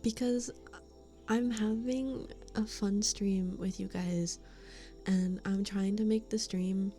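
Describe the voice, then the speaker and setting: A woman's voice: medium pitch, fairly even intonation, slow, mellow, soft background music. A woman streamer chatting casually with her live chat, giggling often and sometimes dropping to a whisper. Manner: giggly, laughs often between remarks. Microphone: studio condenser mic.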